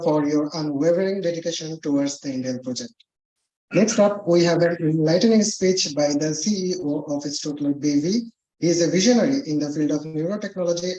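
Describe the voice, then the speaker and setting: An emcee reading a brisk, formal scripted introduction of the next speaker. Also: medium pitch, mellow. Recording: echoey hall.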